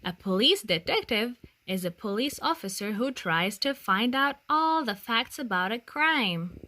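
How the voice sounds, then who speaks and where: Slow, animated woman reading a children's story. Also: a little high, a little hiss or hum. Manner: deliberate, expressive storytelling. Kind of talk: picture book read-aloud for young children.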